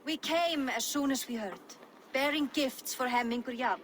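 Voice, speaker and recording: An actress playing a gentle, concerned female Viking ally in a game cutscene. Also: sits high, normal speed, slight background noise.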